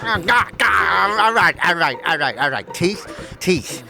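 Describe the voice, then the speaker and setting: A man's voice: sits high, lively intonation, measured pace, vocal fry, over music, exaggerated voice. A man playing a sarcastic, grumbling undead skull sidekick in an audio drama, stammering and wheedling as he goes. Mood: humiliated, grudging. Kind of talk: audio drama character acting.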